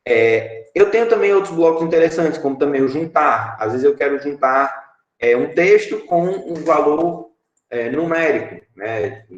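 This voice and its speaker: Give me a Brazilian man teaching online from an echoey home room, his voice a bit high. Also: expressive, measured pace, highs cut off. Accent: Brazilian Portuguese. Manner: explanatory, frequent filler "é" and tag "certo?". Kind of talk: online class screen-share tutorial. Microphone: webcam video call.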